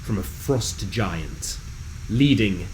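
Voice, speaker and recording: A man reading a scripted fantasy game recap in a dramatic, measured storytelling delivery. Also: fairly low pitch, lively intonation, darkish tone, slight background noise, low-quality recording.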